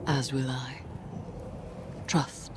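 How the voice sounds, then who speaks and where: A haunted, vengeful witch in a video game. She speaks low and very slowly, formal and grave, sinking toward a breathy whisper amid noisy ambience. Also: level tone, exaggerated voice. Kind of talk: dramatic video game acting. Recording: clearly noisy ambient background.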